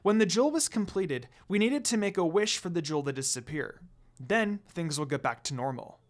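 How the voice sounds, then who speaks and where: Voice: mid-range voice, expressive, brisk, natural voice. A narrator voicing a kind, outspoken young priestess who is earnest and easily flustered. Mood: earnest, explaining.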